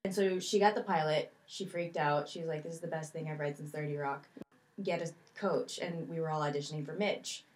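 A woman's voice: deep voice, steady tone, brisk. A woman being interviewed as a guest in a studio, telling stories in a chatty, light, self-deprecating way. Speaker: actress guest. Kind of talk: casual interview chat. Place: recording studio.

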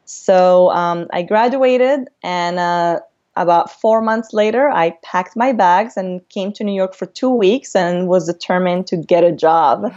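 Woman from Morocco, creative director guest; lower voice, earnest, filler-heavy, muffled lo-fi recording. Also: darkish tone. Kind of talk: interview storytelling.

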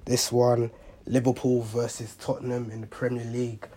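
A young man with a hoarse voice, hosting a football reaction show in a rambling, casual monologue full of ums and self-corrections. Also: medium pitch, monotone, moderate pace, dark tone. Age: young adult. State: hoarse, under the weather. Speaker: football reaction channel host.

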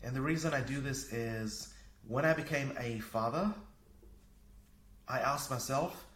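A man from Fiji talks as a guest on a podcast. His voice sits at a middle pitch and he explains himself in a reflective, conversational way. The sound is roomy, with noticeable echo around the voice. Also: unhurried, fairly bright tone, slight background noise. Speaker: podcast guest, consultant and coach. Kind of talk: conversational interview.

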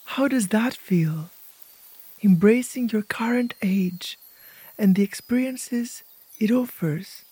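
Soft breathy lady doing guided meditation, really slow n gentle, mellow tone, close dry sound. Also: medium pitch, dry recording. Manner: gentle, hushed, pausing between short phrases. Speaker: host of a guided imagery podcast.

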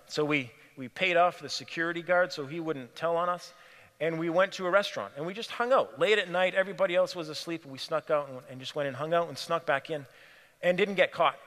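Earnest preacher in a reverberant hall. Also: male voice, on the high side, a bit bright. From Cameroon. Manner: earnest, repeats phrases for emphasis. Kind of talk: sermon.

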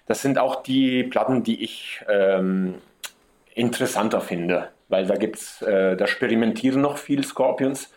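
A man with an Italian accent, a guest musician answering interview questions casually, pausing often with fillers and restarts. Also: lowish voice, a little mellow. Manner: hesitant, many fillers and restarts.

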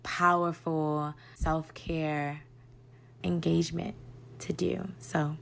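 A woman's voice: low voice, level tone, slow pace, creaky, slight background noise. A woman of thirty from Baltimore, sending a reflective, earnest voice note to a podcast. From Baltimore, Maryland. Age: 30s. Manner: reflective, earnest, soft at times. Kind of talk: personal voice memo.

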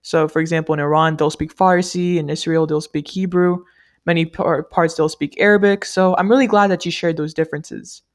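A woman from the US, a native Malayalam speaker, asking interview questions in a polite, measured, rehearsed way. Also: deep voice, fairly even intonation. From United States. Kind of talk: scripted-sounding interview questions.

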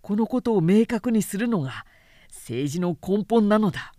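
A woman voicing a calm, principled male samurai in an audiobook, speaking quietly in a low, grave, formal and measured way. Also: no room sound, studio quality, natural voice. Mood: stern, lecturing. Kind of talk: literary audiobook character reading.

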